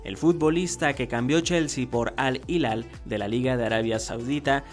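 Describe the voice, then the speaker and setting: A man in his thirties presents a football countdown as an online host. His voice sits at a medium pitch, and he delivers the scripted lines in an emphatic presenter style. Music plays behind him. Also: moderate pace. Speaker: YouTube host. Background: background music.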